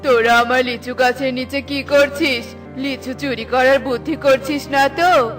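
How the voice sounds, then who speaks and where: A woman acts a grumpy eagle in a children's cartoon. The bird scolds suspiciously in a high, slow voice over faint music. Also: fairly even intonation, a bit bright. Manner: scolding, questioning. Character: grumpy, suspicious eagle guarding a lychee tree. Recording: music behind the voice.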